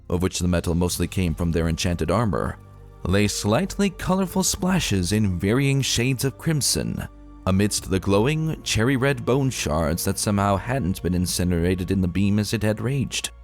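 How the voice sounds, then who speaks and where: A man giving a dramatic, solemn and measured reading of a dark fantasy story. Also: on the low side, lively intonation. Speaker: narrator reading fan fiction. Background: music.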